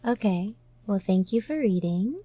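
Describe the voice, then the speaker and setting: A woman hosts a casual literary podcast at a slow, easy pace. Her voice sits in a middle range for a woman. The sound is dry, with hardly any room on it. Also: medium pitch, expressive, dark tone, no room sound. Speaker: podcast host.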